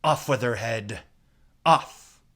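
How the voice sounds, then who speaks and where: Man playing a loud, furious storybook queen. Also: mid-range voice, takes it slowly, exaggerated voice. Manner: shouting, severe, imperious.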